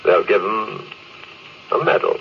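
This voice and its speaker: An actor playing a proud, ambitious, stoic space officer in a stiff, earnest old-time radio drama style. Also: male voice, on the low side, lively intonation, measured pace, vocal fry. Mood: proud, insistent. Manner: stiff, earnest, self-important. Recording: muffled, noisy vintage radio recording.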